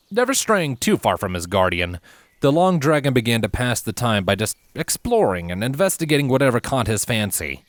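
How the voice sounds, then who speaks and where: A man narrating a scripted story as a reader of fan fiction, with expressive, theatrical emphasis. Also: on the low side, no room sound.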